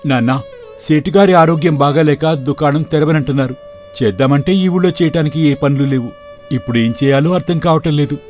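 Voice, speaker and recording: A man's voice: a little high, fairly quick, darkish tone, soft background music, close to an everyday voice. A man playing a poor, respectful young shop clerk in a moral story, earnest and polite in his talk. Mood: troubled, questioning. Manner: polite and deferential. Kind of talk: dramatized moral story for video.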